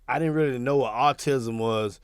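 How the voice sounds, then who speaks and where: A man's voice: medium pitch, normal speed, clean tone, no echo. A man co-hosting a podcast in casual, slangy, laid-back chat, recorded in a studio on a studio microphone. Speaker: podcast co-host.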